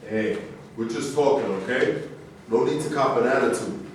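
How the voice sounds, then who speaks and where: A man's voice: mid-range voice, moderate pace, close to an everyday voice. A man acting a brash ex-champ, echoing room. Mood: needling. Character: brash, proud male ex-champion boxer, crude and streetwise. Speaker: actor in a staged reading. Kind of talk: staged play reading. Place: echoey indoor room at a staged reading. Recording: distant, very echoey room with noise.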